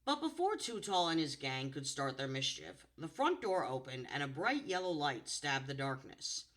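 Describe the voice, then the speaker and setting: Contralto woman voice actor, storybook reader, animated and bright. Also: moderate pace. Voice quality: low contralto voice. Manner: expressive, playful. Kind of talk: storybook narration and chat.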